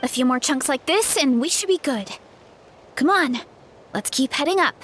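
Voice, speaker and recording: Young game heroine, bubbly yet shy and unsure of herself, bright high voice. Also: female voice, lively intonation, normal speed, slight background noise. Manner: bubbly, shy, self-doubting. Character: earnest young woman who idolizes a masked hero. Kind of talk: video game voice acting.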